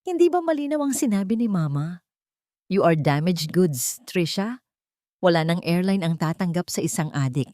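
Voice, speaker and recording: A woman's voice: fairly low pitch, expressive, normal speed, mellow, no echo, clean studio sound, close to an everyday voice. A woman voicing a cold, arrogant young rich man who speaks with irritation and contempt. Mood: contemptuous.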